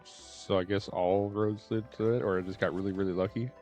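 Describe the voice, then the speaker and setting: A man's voice: low voice, steady tone, fairly quick, a little mellow, soft background music. Chatty man streaming a video game. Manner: chatty, friendly greetings to viewers. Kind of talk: casual live stream chat over gameplay.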